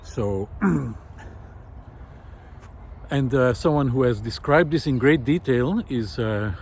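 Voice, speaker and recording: A man talks his thoughts through while walking across a park lawn, with a mid-pitched voice. He is reflective and unhurried, often stopping to search for the next word. A faint hum of outdoor sound sits behind him. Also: a little mellow. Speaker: video essayist. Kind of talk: musing walk-and-talk monologue. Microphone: handheld camera while walking.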